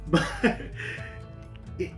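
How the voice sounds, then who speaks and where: A man in his fifties, a guest musician, talking in a reflective, halting way with many restarts. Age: 50s. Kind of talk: casual conversation. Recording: roomy sound with guitar music behind.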